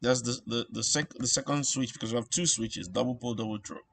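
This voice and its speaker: A man giving an informal electronics tutorial, thinking aloud in a halting way and repeating phrases as he works things out. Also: mid-range voice, gravelly, a bit bright. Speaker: instructor.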